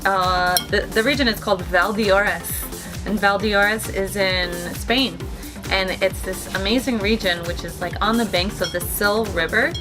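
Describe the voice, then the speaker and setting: A woman's voice: medium pitch, fairly even intonation, unhurried. A woman hosting a weekly wine tasting, describing wines in a chatty, bubbly way with lots of "like" fillers, with music under her voice. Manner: chatty, bubbly, heavy use of "like". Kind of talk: casual wine tasting presentation. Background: background music.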